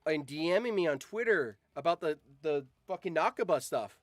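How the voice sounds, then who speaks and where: A man's voice: fairly high pitch, expressive, moderate pace, no room sound. A man chatting casually on a livestream in an informal, sweary way. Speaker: streamer.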